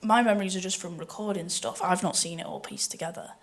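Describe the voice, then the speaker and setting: A woman's voice: fairly low pitch, fast talker. A woman speaking informally and unscripted, as a voice actor in a panel discussion.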